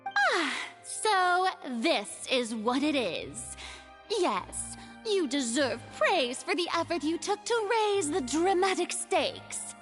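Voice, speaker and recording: A woman's voice: high-pitched, very animated, fairly bright tone, long reverb tail, soft background music, full character voice. A woman playing a proud, theatrical young female god of justice in a video game, hiding a burden. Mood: imperious. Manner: theatrical, haughty, dramatic pauses.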